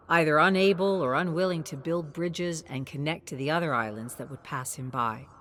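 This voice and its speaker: A woman with a fairly low voice narrates a scripted story in short, measured phrases. Her intonation moves around a lot, giving the telling an expressive, dramatic feel. There is faint background noise under the voice.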